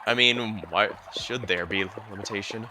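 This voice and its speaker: A man's voice: lowish voice, moderate pace, background noise. A man giving live playthrough commentary on a video game, musing hesitantly and wandering into asides as he streams.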